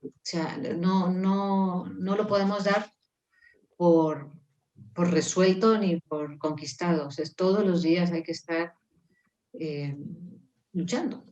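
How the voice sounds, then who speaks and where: A woman around sixty with a lowish voice speaks thoughtfully as an interview guest over a video call. She is earnest and pauses to restart her phrases. She grew up in Mexico. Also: darkish tone, lo-fi sound. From Mexico (grew up in Puebla, born in Colombia). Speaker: guest, musician and poet. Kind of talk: reflective conversational interview.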